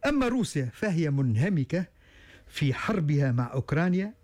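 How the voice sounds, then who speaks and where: A man's voice: on the high side, big pitch swings, fairly quick, a little mellow. A Tunisian-accented man analysing events as a talk show guest. His somewhat raised voice halts and restarts often, with frequent fillers. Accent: Tunisian Arabic. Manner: hesitant, many stalled restarts and fillers. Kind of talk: studio talk show discussion.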